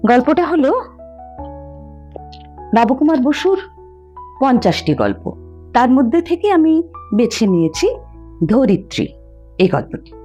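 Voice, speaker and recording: A woman reads a short story aloud as a storyteller. Her voice is warm and mellow, unhurried and full of melodic movement, with faint music behind it. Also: high-pitched, sing-song. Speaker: storyteller, reader. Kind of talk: expressive narration of a short story.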